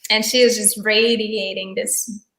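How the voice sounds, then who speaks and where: A woman whose native tongue is German speaks in a flat, even tone, pausing often with fillers and restarting her sentences. She is heard over a video call in an echoey room. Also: mid-range voice. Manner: hesitant, many fillers and restarts. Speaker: guest, tarot deck creator and photographer. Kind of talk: casual interview chat.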